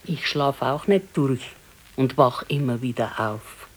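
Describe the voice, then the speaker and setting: A woman playing an aging, resigned, gently chiding wife in a radio play, with a southern German, Bavarian accent and a matter-of-fact manner. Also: a bass voice, takes it slowly, slightly rough, full character voice, low-quality recording. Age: older. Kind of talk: naturalistic radio play acting.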